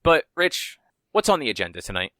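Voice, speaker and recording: A man's voice: medium pitch, very animated, brisk, a bit bright, dry recording, clean studio sound. A man co-hosting a podcast, talking in a laid-back, rambling way and swearing casually. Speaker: podcast co-host. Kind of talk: casual chat.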